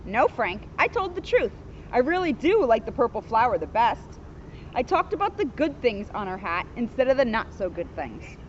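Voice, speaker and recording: A woman's voice: fairly high pitch, very animated, normal speed. A woman voicing a kindly, wise old storybook grandfather with a patient, reassuring manner. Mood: calm insistence. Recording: muffled, noisy outdoor recording.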